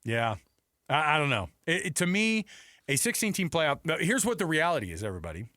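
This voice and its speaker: American guy hosting sports radio, chatty and thinking out loud, really dry studio sound. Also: a little high, very animated, brisk, no room sound. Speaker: sports talk show host. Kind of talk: casual sports debate.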